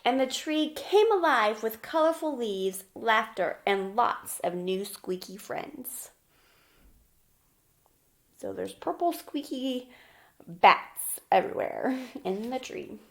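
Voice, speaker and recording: A woman's voice: on the high side, animated, takes it slowly. Woman teacher reading aloud to children. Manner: simple, explanatory, often asking the listener questions. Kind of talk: children's storybook read-aloud and lesson.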